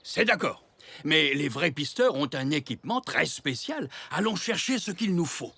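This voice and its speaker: A man voicing a kindly, playful old zebra grandfather in a children's cartoon, gentle, encouraging and expressive. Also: a little high, normal speed, creaky, breathy edge, no room sound, heavily acted. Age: older character. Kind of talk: French children's cartoon dialogue.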